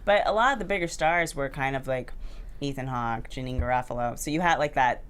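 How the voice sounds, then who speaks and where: A woman's voice: very deep voice, a little fast, clean tone. A woman in a casual panel discussion about TV, acting out imagined remarks as she talks.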